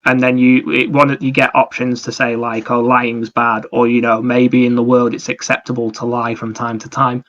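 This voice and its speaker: Guy on a gaming podcast, mid voice, kinda flat pitch, rambles through stories. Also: normal speed, few pauses, muffled recording. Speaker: podcast host. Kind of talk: casual chat about video games.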